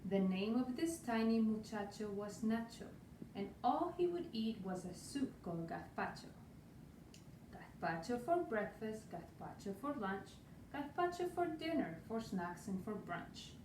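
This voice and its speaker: A woman in her forties from Spain with a Spanish accent, reading a rhyming children's picture book aloud in a lively, rhythmic way, sprinkling in Spanish words. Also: mid-range voice, a little slow. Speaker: storytime reader. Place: small room indoors. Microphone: far camera. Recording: roomy, distant, faint hiss.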